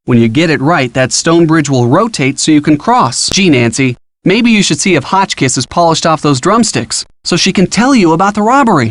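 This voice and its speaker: Man acting an eager, higher-voiced young male helper. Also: no room sound, close to an everyday voice. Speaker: hint-giving game character. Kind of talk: scripted video game voice acting.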